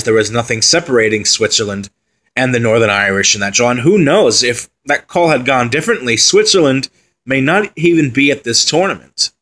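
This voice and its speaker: A man's voice: medium pitch, fairly bright tone. Casual man previewing a football side. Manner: conversational, with fillers and asides. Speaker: football pundit. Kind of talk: solo commentary on team previews.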